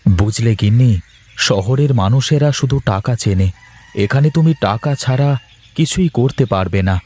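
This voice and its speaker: A man's voice: medium pitch, lively intonation, normal speed, warm tone, natural voice. A man voicing a weary, worried but proud working husband in a cartoon, with a homely, complaining delivery. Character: weary, worried married office worker, proud and plain-spoken. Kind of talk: Bengali cartoon voice acting.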